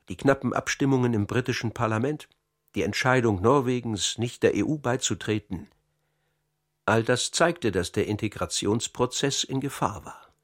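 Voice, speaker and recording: An actor playing an insider calmly confessing a political conspiracy in a radio crime play, measured and sober. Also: male voice. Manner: measured, sober account, dropping low on key points. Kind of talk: radio drama, confessional narration.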